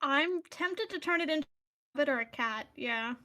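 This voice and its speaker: A woman's voice: sits high, lively intonation, normal speed, sharp tone. A woman playing a tabletop fantasy game, chatting casually and thinking aloud.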